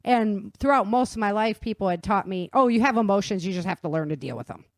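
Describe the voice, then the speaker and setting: A woman's voice: mid-range voice, lively intonation, quick pace, dry recording, clean studio sound. A woman hosting a podcast, chatting casually and often agreeing briefly. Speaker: podcast host. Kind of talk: casual conversation.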